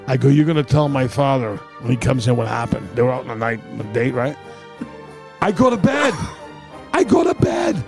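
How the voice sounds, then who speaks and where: A middle-aged man, telling stories casually on a podcast in a blunt, profane, animated way. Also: mid-range voice, gravelly. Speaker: podcast co-host. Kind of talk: casual comic storytelling among friends.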